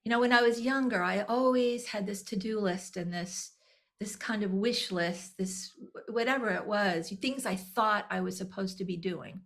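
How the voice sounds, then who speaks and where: Gravelly sixties woman, candid and reflective. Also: mid-range voice, moderate pace, fairly bright tone. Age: 60s. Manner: candid, reflective, frequent restarts and light laughs. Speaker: guest, nonprofit executive director. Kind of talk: conversational podcast interview.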